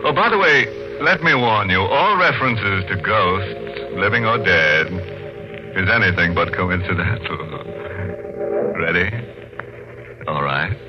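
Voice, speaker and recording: Casting: morbidly gleeful male host of a 1940s radio chiller, slow, theatrical, wildly varied intonation. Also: deep voice, animated. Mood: sly. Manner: theatrical, punning, mock-genial. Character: ghoulish, gleefully morbid, mock-genial male horror host. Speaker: horror radio show host. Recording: dull old radio recording, music behind.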